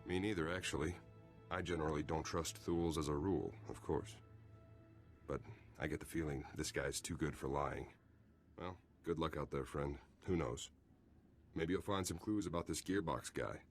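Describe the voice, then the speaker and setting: Very deep-voiced easygoing captain. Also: male voice, normal speed. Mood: relaxed, confiding. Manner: casual, wry, friendly. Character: easygoing, loyal military captain, male. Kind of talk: video game cutscene acting. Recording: game soundtrack music behind.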